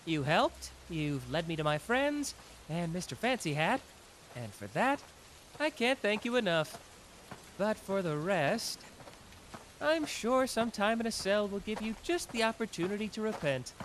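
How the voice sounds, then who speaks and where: Man performing young male detective genius, anime roleplay, lightly high voice, very animated intonation, smug, playful, theatrical pauses, ambient noise behind. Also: heavily acted. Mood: smug, teasing. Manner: self-assured, teasing, dramatic pauses. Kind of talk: scripted anime roleplay ASMR audio drama.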